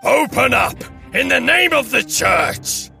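A woman voicing shrill monster hunters and wailed curses, shouting theatrically in a high, gravelly voice for a gothic story. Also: takes it slowly, faint music behind, exaggerated voice. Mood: alarmed, commanding.

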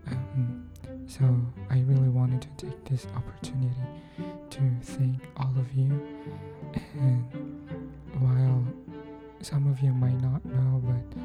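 A woman hosting a podcast, thanking her listeners in a soft, often near-whispered, unhurried voice. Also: rumbling low, monotone. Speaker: podcast host. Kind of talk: thank-you message to listeners. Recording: cello music playing under the voice.

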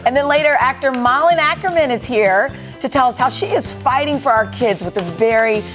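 A woman's voice: high-pitched, lively intonation, brisk, music in the background. A woman hosting a morning TV show in a studio, upbeat and laughing easily. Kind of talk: casual chat and show links.

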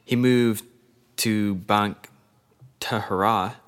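A man co-hosting a casual sports podcast, reading stats in a loose, joking way. His voice sits low. He often stops and starts again mid-sentence. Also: steady tone, a bit bright. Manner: loose and jokey, reads stats with halting restarts. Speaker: podcast co-host.

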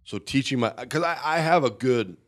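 A man telling a rambling, animated story in casual chat, with a somewhat nasal voice. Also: mid-range voice, normal speed.

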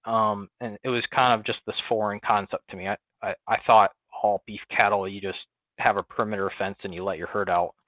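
A man's voice: fairly low pitch, brisk, no room sound. Guest farmer, man, Western New York accent, easygoing storyteller full of "um"s, tinny phone-like line, dry sound. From Western New York, near Buffalo. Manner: relaxed storytelling with many "um"s and restarts. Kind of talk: conversational interview.

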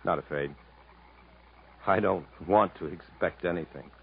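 A man's voice: sits low, measured pace, vocal fry, a little hiss or hum. An actor playing a troubled, proud man stranded in the jungle, in an earnest, dramatic 1950s radio style. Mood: resigned, gruff. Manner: earnest, literary, dramatic delivery. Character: weary, troubled male jungle survivor, proud and protective. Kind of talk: 1950s radio acting, dramatic narration and dialogue. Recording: muffled vintage radio recording with music.

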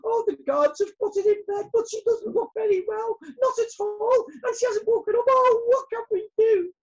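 Very high-pitched wailing bee. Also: female voice, normal speed, darkish tone, muffled recording. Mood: distressed. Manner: wailing, exclamatory. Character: distraught, melodramatic female bee messenger.

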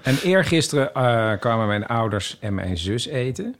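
A man's voice: mid-range voice, very animated, moderate pace. Informal man in his fifties, podcast chat. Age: 50s.

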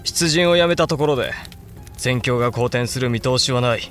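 A brooding samurai lord acted by a man with a mid-pitched male voice. He speaks with grave, deliberate authority, as in a historical radio play, with music underneath. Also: fairly bright tone, lightly acted. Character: brooding, resolute samurai lord and elder brother. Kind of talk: Japanese period audio drama acting.

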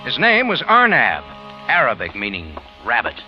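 Wry, animated hard-boiled cafe owner. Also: male voice, mid-range voice, moderate pace. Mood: wry narration. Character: wry, tough American cafe owner in Cairo. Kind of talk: 1950s radio acting and narration. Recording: muffled old radio recording, loud music.

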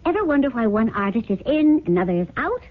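An actress in a 1970s radio drama playing an excitable, trusting, affectionate wife, speaking earnestly and theatrically. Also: female voice, a little high, quick pace. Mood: excited. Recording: phone-like vintage radio recording.

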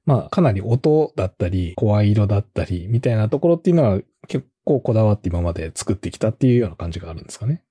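Man, podcast host, very mellow dark tone, husky, casual loose questioning, murmured acknowledgements. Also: on the low side, very animated, fast talker, hardly any pauses. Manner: frequent listening murmurs, loosely phrased questions. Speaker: podcast host, company co-founder. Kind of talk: casual conversational interviewing.

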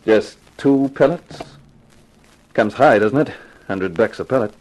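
Wry hard-boiled radio detective. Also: male voice, on the low side, unhurried, darkish tone. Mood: cool, wisecracking. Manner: wry, slangy, tough. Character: hard-boiled wisecracking male private detective narrating his case. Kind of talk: 1950s radio detective drama acting. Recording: noisy vintage radio recording.

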